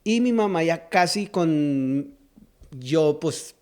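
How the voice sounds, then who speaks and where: A man's voice: fairly high pitch, lively intonation, takes it slowly, a little mellow, smooth. A man in his fifties from South Texas, a pastor and guest, telling stories from his life in a hesitant, restarting way with easy laughter. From South Texas, United States. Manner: hesitant, with frequent fillers and restarts, laughs easily. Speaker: pastor and singer-songwriter, guest. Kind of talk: conversational storytelling.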